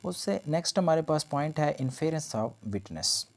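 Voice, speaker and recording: A man's voice: fairly high pitch, big pitch swings, a little slow, vocal fry, darkish tone. A man giving an explanatory law lecture, repeating and rephrasing his points as he goes. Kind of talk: lecture explaining legal points in Urdu mixed with English terms.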